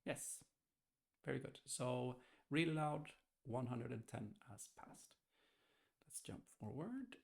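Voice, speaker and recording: A man thinking aloud hesitantly as he gives a casual software testing walkthrough. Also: mid-range voice, lively intonation, slow pace, gravelly. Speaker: software tester demonstrating. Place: indoors, screen-recorded voice-over.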